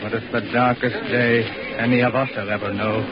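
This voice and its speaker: An actor playing a weary soldier narrating in a somber, measured way, in an old radio drama. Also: male voice, medium pitch, level tone, music in the background, close to an everyday voice. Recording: dull lo-fi old radio recording.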